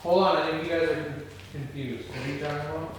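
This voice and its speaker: A man's voice: fairly high pitch, fairly even intonation, fast talker, a loud crowd. A man casually talking through production details with a film crew. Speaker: film crew member leading discussion. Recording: echoey room, distant, faint noise.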